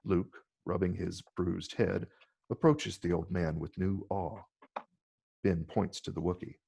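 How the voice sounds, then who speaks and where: A man with a gravelly, husky voice reading stage directions as scripted narration. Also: low voice, fairly even intonation, measured pace, warm tone.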